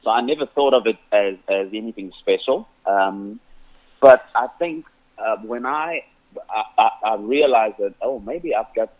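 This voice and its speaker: Man, forties, slightly low voice, rambling with restarts, lo-fi radio sound. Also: moderate pace. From South Africa, Cape Flats. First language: Afrikaans. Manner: rambling, many restarts, laughs often. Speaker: musician guest. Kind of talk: casual interview answers.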